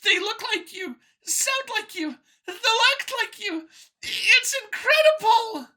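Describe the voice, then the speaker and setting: A woman's voice: very high voice, expressive, slow, pushed and bright, voice pushed up, exaggerated voice. A woman voicing a nervous, boastful female alien scientist from an audio story, whiny and gushing as she justifies her elitist views. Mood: excited, boastful. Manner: gushing, over-eager justifying.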